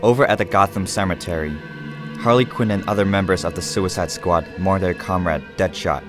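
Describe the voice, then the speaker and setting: A man's voice: low voice, a little fast. A man narrating a radio drama from a script. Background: faint music.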